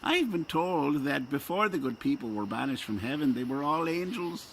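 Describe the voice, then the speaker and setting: A man's voice: a little high, animated, normal speed, a bit bright, slight background noise, poor audio. A man playing a pious, curious and sly country priest with an Irish accent in an audio drama. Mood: probing. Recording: music underneath.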